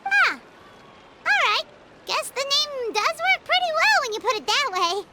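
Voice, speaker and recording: Paimon, a squeaky little fairy. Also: female voice, big pitch swings, unhurried, very noisy, full character voice. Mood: curious. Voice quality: very high squeaky voice. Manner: curious, blunt, talks of herself in third person. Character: Paimon, small chirpy female fairy companion. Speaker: game companion character. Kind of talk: video game voice acting. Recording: game audio under music.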